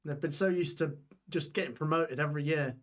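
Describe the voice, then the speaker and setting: A young man hosting a live football watch-along stream, reading out scores and chatting with viewers while his voice gets hoarse. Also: fairly high pitch, fairly quick. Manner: chatty, reads scores aloud, reacts to chat. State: voice going hoarse.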